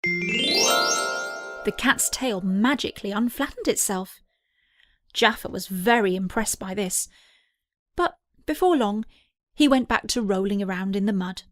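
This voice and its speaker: A woman's voice: mid-range voice, a little slow, pauses often, fairly bright tone, no echo, clean studio sound, soft background music. A woman narrating a scripted children's story as an audiobook reader.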